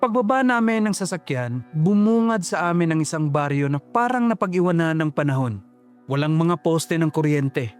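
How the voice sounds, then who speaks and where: A man tells a first-person horror story in a slow, ominous, reflective voice, pitched a little high. Faint music runs under his narration. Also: expressive, clear, resonant tone.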